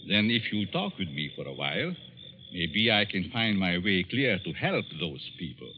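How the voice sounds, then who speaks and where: Coolly menacing professor, swooping vintage radio voice. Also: male voice, low-pitched, big pitch swings. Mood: coaxing. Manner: deliberate, probing, theatrical. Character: smooth, menacing middle-aged psychology professor, coolly persuasive. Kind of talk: 1940s radio thriller acting. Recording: dull vintage 1940s radio recording.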